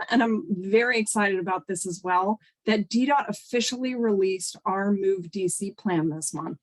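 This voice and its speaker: A woman transportation official with a cold, giving upbeat prepared remarks on a video call, often starting with uh or um. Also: medium pitch. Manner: earnest, upbeat, fills pauses with uh and um. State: sniffly from a cold. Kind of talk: prepared remarks at a virtual town hall.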